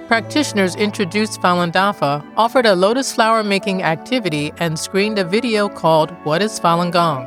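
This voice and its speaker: A woman reading scripted news in a measured, formal manner. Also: on the low side. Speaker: news reader.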